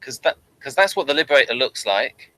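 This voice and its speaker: A man's voice: medium pitch, animated, brisk, pushed and bright, rough recording. A man co-hosting a review show in casual discussion, hesitant and repeating words as he thinks aloud.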